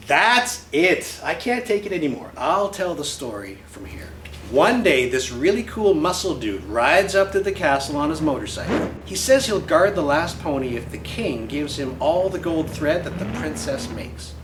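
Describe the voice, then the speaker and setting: A man's voice: on the high side, very animated, normal speed, background noise. A man reading a picture book aloud in character as a boy, with brash, slangy, emphatic delivery. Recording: roomy echo with faint music.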